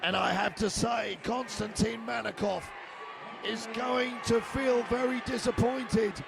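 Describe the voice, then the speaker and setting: An older man giving live fight commentary, excitable and hoarse as he loses his voice, shouting the big moments. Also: extremely high pitch, a little slow, crowd noise. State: losing his voice. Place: arena.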